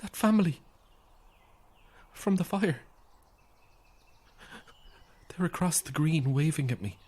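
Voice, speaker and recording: A woman's voice: deep voice, animated, slow, a little mellow, slightly rough, exaggerated voice. An actress in a horror audio drama playing a frightened, unravelling woman, anxious and moving between whispers and cries. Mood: frightened, pleading. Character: frightened, unravelling woman trapped on an empty housing estate.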